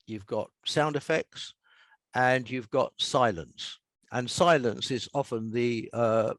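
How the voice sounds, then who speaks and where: An older English man talks conversationally as a seasoned writer being interviewed. His voice sits at an average pitch for a man. He explains things in a measured, emphatic way, recorded dry with hardly any room around him. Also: no room sound. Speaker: author and radio scriptwriter being interviewed.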